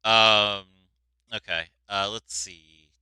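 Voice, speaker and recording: A man's voice: fairly low pitch, little pitch movement, extremely slow, bright, forceful tone, clear, resonant tone, dry recording. A man hosting a casual conversational interview, reacting and asking questions. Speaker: interviewer, host. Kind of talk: casual chat.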